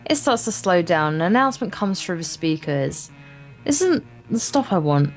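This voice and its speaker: Woman, lowish voice, game co-host, reading story narration aloud, wry asides, word stumbles, soft guitar music behind. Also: big pitch swings, normal speed. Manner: stumbles over words, breaks off for wry, sweary commentary. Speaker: let's play co-host. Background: acoustic guitar game music.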